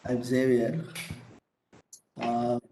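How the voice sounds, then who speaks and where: A man teacher speaks frankly and reflectively. His voice sits mid-range. The room adds a clear echo, and a faint hiss runs beneath. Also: mid-range voice, completely flat delivery, extremely slow, dark tone. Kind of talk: workshop feedback. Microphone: video call. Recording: echoey room on a video call.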